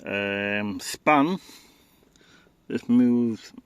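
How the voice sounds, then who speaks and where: Male presenter, slightly deep voice, hands-on gear demo, unpolished and matter-of-fact. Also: drawn-out words, clear, resonant tone, no room sound. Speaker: radio enthusiast presenting equipment. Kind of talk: informal product demonstration.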